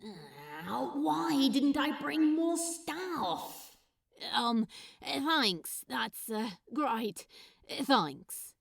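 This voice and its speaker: A woman's voice: high voice, animated, extremely slow, creaky, breathy edge, no echo, studio quality, heavily acted. A woman voicing an awkward, smitten man who speaks hesitantly, stammering with ums and uhs. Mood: flustered, smitten. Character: awkward, smitten adult man, bashful and flustered.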